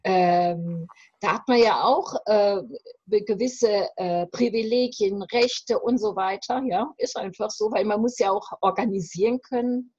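A woman in her fifties speaks as an interview guest on a video call. Her voice is husky and fairly high, with an emphatic, halting delivery. The sound is muffled and dry. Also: darkish tone, no echo. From West Germany. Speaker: separation coach, interview guest. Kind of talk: reflective interview conversation. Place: room at home. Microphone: video call, computer microphone.

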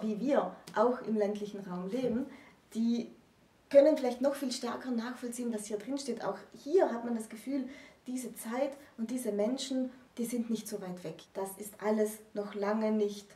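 A woman's voice: on the high side, mellow. A woman in her thirties explaining literature as a co-host in a discussion to camera. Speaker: co-host presenting books. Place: room with bookshelves, indoors. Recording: roomy echo, distant microphone.

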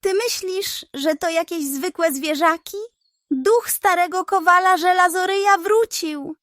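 A woman voicing an agitated, argumentative male fox in a children's story, loud and insistent. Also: very high voice, unhurried, no echo, pitched above the performer's own voice. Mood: indignant alarm.

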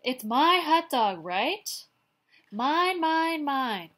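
Lady doing an excitable grumpy pigeon from a picture book, possessive, big dramatic outbursts, really animated. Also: high-pitched, drawn-out words, pitched above the performer's own voice, exaggerated voice. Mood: outraged. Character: excitable, possessive, exasperated pigeon with a hot dog. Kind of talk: children's picture-book read-aloud.

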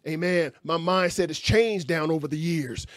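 A man in his fifties leads a Bible class in a fervent, folksy preaching cadence, punctuating nearly every phrase with an amen or hallelujah. His voice sits fairly high for a man. It is recorded dry and close on a stand mic in a small room. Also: normal speed, a bit bright, dry recording. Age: 50s. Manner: emphatic call-and-response preaching with frequent amens; folksy, conversational, gestures with hands. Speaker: pastor. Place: small indoor room with curtain backdrop. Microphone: stand mic in front.

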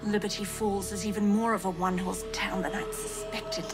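An actress playing a sharp-tongued young female researcher, bold and wry. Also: mid-range voice, steady tone, fairly quick, natural voice. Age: young adult. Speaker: scientist daughter. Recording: game cutscene with music.

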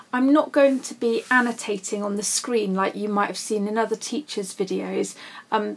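A woman's voice: medium pitch, husky. A woman teacher explaining literature in a recorded lesson, informal and addressing students directly. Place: voice-over for a slide lesson.

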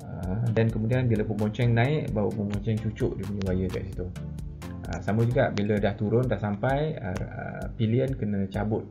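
A man's voice: on the low side, moderate pace, creaky, music in the background. A man in his forties with a Malaysian accent, explaining a product informally and hesitantly, mixing in English terms. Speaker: product reviewer. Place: medium-sized indoor office.

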